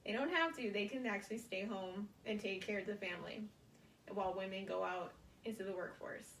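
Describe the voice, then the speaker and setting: Student presenter: young American woman, halting short phrases, creaky voice, roomy sound with faint noise. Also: mid-range voice, fairly bright tone, recorded from a distance. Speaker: college student giving a speech. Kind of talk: prepared informative classroom speech.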